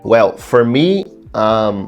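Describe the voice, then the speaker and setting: A man's voice: on the low side, expressive, drawn-out words, soft background music. A young man whose first language is Brazilian Portuguese, talking casually to camera about his language study routine, thinking aloud with fillers. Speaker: language learning youtuber.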